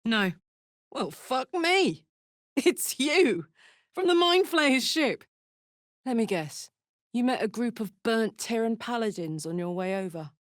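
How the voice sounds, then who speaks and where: A woman's voice: a little high, lively intonation, slow pace, dry recording, studio quality. A woman voicing a brash, big-hearted fiery tiefling warrior in a video game, blunt, slangy and swaggering. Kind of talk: video game character voice lines.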